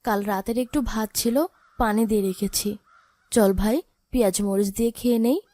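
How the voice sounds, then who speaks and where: Woman acting a high-voiced, plaintive orphaned big sister. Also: steady tone, brisk, mellow, no echo, lightly acted. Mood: helpless, coaxing. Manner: plaintive, pleading. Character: poor orphaned big sister, gentle, sorrowful, pleading. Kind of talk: dramatic cartoon voice acting.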